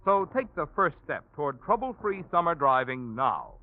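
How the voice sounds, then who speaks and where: A man's voice: on the high side, big pitch swings, moderate pace. An American man reading a 1940s radio sponsor message with polished, emphatic salesmanship. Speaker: announcer. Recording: phone-like old radio broadcast.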